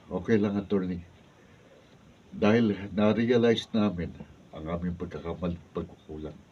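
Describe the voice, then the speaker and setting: An actor playing a remorseful adult son in a radio drama. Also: male voice, deep voice, moderate pace, husky. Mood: contrite. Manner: contrite, apologetic. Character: repentant grown son. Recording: muffled and distant.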